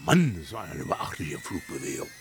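An actor playing a stubborn, mocking older village man in a radio play, with a husky voice and a Berlin-Brandenburg accent, colloquial and blustering. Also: low-pitched, wildly swooping pitch, normal speed, shouting, noisy background. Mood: marvelling. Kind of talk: naturalistic German radio play acting.

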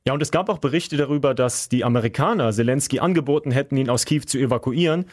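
Guy co-hosting a podcast, calm plain explainer, dry close sound. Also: medium pitch, quick pace, no echo. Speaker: podcast co-host. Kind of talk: casual explanatory chat.

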